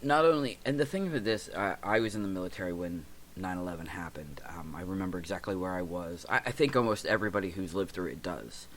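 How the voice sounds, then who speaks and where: A deep-voiced man in his forties, stuffy and congested from allergies, talking in a halting, searching way full of pauses and fillers. A faint hum sits under his voice as he reflects as a host from the United States. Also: fairly bright tone. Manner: halting, with many fillers and restarts. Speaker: podcast host. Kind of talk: personal reflective talk.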